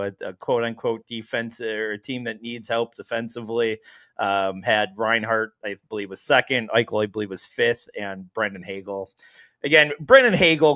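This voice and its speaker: A man's voice: medium pitch, fairly bright tone, no room sound. A man hosting a casual sports podcast who jokes, laughs a lot and swears now and then. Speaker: podcast host.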